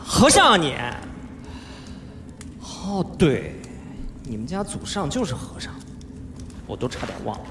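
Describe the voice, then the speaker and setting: A man's voice: fairly high pitch, huge pitch swings, background noise. Playful whiny young swordsman, echoey hall. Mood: bored, whiny. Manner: whiny, drawn-out, coaxing. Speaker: voice actor. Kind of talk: live audio drama stage performance. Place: large theatre stage, indoors. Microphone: handheld microphone. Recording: echoey large hall, music behind.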